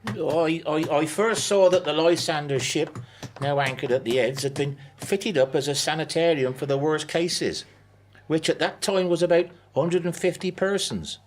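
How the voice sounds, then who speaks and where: An actor playing a 1850s harbour master giving a formal, halting report to the governor in a radio play. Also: male voice, on the high side, a little hiss or hum, close to an everyday voice. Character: colonial harbour master reporting to governor, middle-aged man. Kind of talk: amateur radio play acting.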